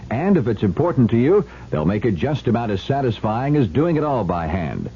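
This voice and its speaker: A man's voice: medium pitch, warm tone. A man reading a scripted 1970s radio advertisement in a folksy, direct sales style. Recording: muffled old radio with faint noise.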